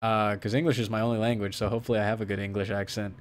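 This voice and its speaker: A man's voice: lowish voice, little pitch movement, very fast, slight background noise. A young man hosting a fitness livestream, chatting casually with viewers, rambling with many restarts and fillers, and turning emphatic when setting a challenge. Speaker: fitness streamer host.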